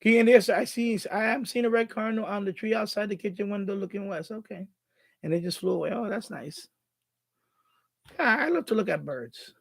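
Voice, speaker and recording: A man's voice: high voice, a little fast, vocal fry, dry recording. A man from the Bronx, chatting casually as a live stream host, thinking aloud and repeating himself. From Bronx, New York City, USA.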